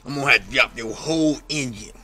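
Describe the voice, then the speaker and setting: Dude doing a memphis shade tree mechanic, sleazy and smooth talking, bright voice, outside in a driveway. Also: male voice, medium pitch, quick pace, faint music behind. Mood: salesy. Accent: Memphis Southern. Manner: slick drawling, rambling, sly. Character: sleazy flirtatious middle-aged male backyard mechanic. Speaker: comedy skit actor. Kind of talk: improvised comedy skit. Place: outdoors in a suburban driveway.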